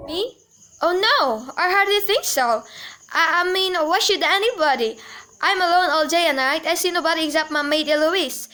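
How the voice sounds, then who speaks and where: Young actress as shrill, fretful invalid wife. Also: female voice, animated, normal speed, bright, forceful tone, full character voice. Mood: nervous, defensive. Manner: agitated, querulous, exclamatory, repeating herself. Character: anxious, demanding, self-pitying invalid wife alone in bed. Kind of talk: amateur radio play acting.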